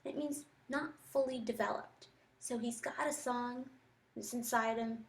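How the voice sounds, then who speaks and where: A woman's voice: a little high, fairly even intonation, a little slow. A woman teacher giving a think-aloud reading lesson, reading and commenting reflectively, talking her thoughts through step by step.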